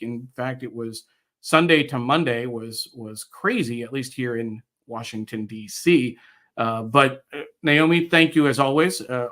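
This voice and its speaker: A middle-aged American man guest-hosting a live online show, speaking in a halting way with pauses mid-phrase. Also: mid-range voice, fairly bright tone. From United States, New York area. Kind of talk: live show introduction, reading viewer comments.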